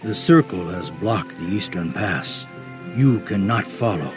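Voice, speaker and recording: A man's voice: deep voice, expressive, measured pace, over music. An actor playing a solemn, dignified Inca leader in a video game, speaking formally as protector of his people. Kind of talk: dramatic video game voice acting.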